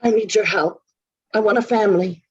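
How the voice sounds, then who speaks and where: A woman's voice: mid-range voice, brisk, slightly rough, lightly acted. A woman playing a desperate, controlling wealthy wife in a scripted drama table read, on dull audio.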